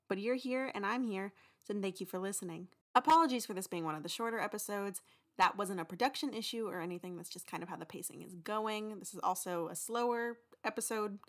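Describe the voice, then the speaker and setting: A woman's voice: medium pitch, a bit bright. Young woman podcast host, casual and chatty, normal mid voice. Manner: chatty, informal. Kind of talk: casual mid-episode announcement.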